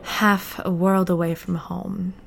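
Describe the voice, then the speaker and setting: A woman playing a bitter, defiant rebel diarist in an audio drama, confiding her secrets in a deliberate, theatrical way. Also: on the low side, flat delivery, gravelly, slight background noise. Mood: proud.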